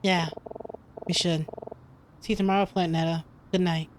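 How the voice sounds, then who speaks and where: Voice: mid-range voice, little pitch movement, moderate pace, background noise, close to an everyday voice. A game player as an earnest young student tutor girl. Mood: friendly. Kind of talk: reading visual novel dialogue aloud. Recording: game music behind the voice.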